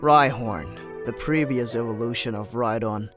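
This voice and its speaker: A man playing a cold, ruthless monster trainer in an anime-style fan dub, lecturing with contempt and bursting into shouts. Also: medium pitch, unhurried, natural voice. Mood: cold, scornful. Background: background music.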